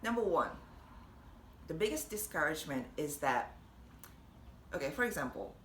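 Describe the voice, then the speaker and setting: A young woman with a Japanese accent, chatting warmly and encouragingly to camera, laughing often. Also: deep voice, big pitch swings, normal speed. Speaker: vlogger and language teacher. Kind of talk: casual encouraging talk to camera. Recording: echoey room with faint noise.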